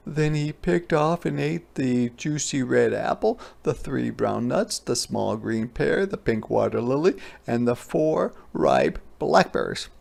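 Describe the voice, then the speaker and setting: A man reading a storybook aloud as a teacher, expressively, pausing to explain words simply for children. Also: mid-range voice, gravelly, darkish tone.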